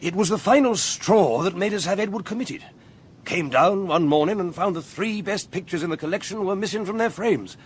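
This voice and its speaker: An actor playing a gruff, exasperated English aristocrat in a period radio drama, with an upper-class English accent and an imperious, blustering manner. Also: male voice, high voice, slight background noise.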